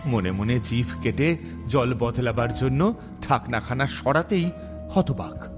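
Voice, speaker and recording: A male storyteller narrates with a mid-range voice and very lively intonation. His delivery is scripted, dramatic and suspenseful, set over clear background music. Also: medium pitch, slow pace. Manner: expressive, suspenseful.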